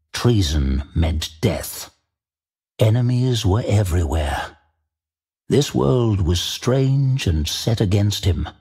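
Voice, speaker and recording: A man reading dramatic scripted fantasy narration as an audiobook narrator, measured and deliberate. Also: fairly low pitch, mellow, breathy edge.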